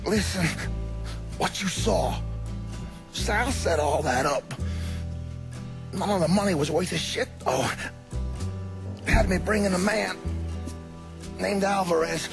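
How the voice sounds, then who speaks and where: An actor playing a foul-mouthed, aggrieved and slippery mob smuggler in a video game cutscene. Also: male voice, sits high, slow, gravelly, pauses often, over music, heavily acted. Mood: wounded, pleading. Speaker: gangster character.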